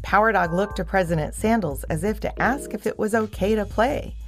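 A woman's voice: medium pitch, fairly quick, faint music behind. A woman narrating a scripted children's adventure story.

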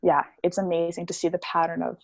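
A woman's voice: on the low side, monotone, quick pace, dry recording, dull audio. A young woman talking as a podcast guest about her healing practice, chatty and casual, with many fillers. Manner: chatty, explains with lots of "like" and "um".

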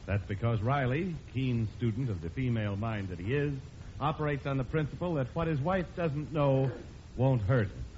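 A man's voice: mid-range voice, moderate pace, darkish tone, a little hiss or hum. A man announcing and narrating a 1940s radio show in a wry, declamatory scripted style. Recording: muffled old radio broadcast.

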